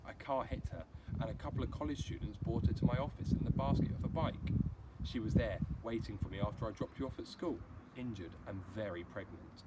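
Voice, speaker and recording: A calm, patient storybook mother read by a man, explaining in a measured, gentle manner at medium pitch. The recording is clearly noisy. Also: lightly acted.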